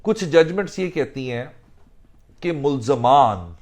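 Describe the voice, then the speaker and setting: Slow-speaking man explaining law. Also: medium pitch, lively intonation, darkish tone. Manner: deliberate, mixes in English legal phrases. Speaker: legal instructor. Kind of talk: explanatory legal discussion.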